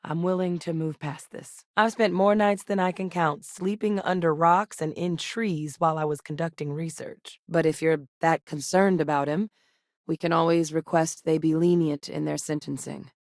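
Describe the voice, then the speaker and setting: A composed female scientist and military officer for a video game. Her voice sits fairly low, and she speaks in a measured, earnest, direct way. The studio recording is close and dry. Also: vocal fry, no room sound. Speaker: game character voice. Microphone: close studio mic.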